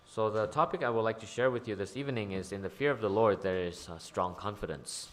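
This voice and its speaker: A man interpreting a sermon into English line by line, often hesitating with ums and uhs. His voice sits very low. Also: quick pace. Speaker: church interpreter.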